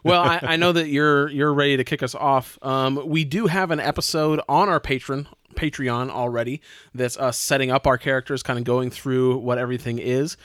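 American male podcast host, mid-range voice, relaxed tabletop game chat, very little room sound. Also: medium pitch, moderate pace, dry recording, studio quality.

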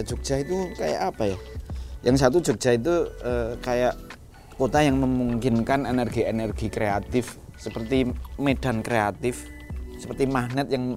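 A man with a Javanese accent, a guest on a podcast, talking in a lively way and mixing in Javanese phrases. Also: mid-range voice. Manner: animated, mixes in Javanese phrases, laughs often. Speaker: hip hop collective member, guest. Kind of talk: casual podcast chat.

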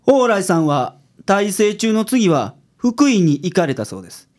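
A woman's voice: medium pitch, big pitch swings, a little slow, a little mellow. A woman with a Kansai accent playing a shrewd, laid-back male detective boss in a radio drama. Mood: matter-of-fact. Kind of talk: scripted radio drama acting.

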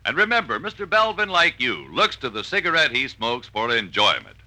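Very bright, animated male radio pitchman. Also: moderate pace, rough recording. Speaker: commercial announcer. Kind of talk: 1940s radio advertising pitch.

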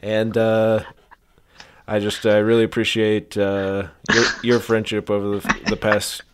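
A man co-hosting a comedy podcast, bantering in a halting way and repeating his words. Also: fairly low pitch, monotone, background noise. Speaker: podcast co-host.

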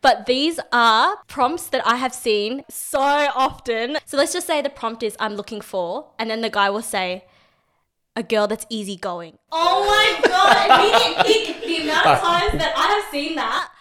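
A woman, hosting a casual podcast chat, lively and quick to laugh and swear. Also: high-pitched. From Australia. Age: 20s. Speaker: podcast host.

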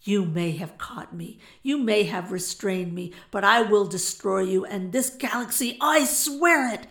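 A woman's voice: mid-range voice, unhurried, a bit bright. A woman voicing a proud captive woman in a story, snarling and declaiming with defiance. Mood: defiant. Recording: roomy echo.

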